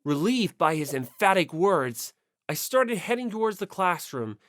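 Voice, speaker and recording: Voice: medium pitch, very animated, measured pace, a bit bright, no echo, studio quality. An audiobook narrator reading a first-person story expressively.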